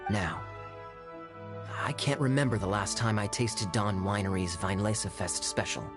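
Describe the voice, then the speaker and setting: An actor playing a suave young cavalry captain in a video game, with a smooth, playful, theatrically sly delivery. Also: male voice, on the low side, moderate pace, over music, lightly acted. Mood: coaxing. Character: suave, teasing, silver-tongued young cavalry captain, male. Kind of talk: video game character dialogue.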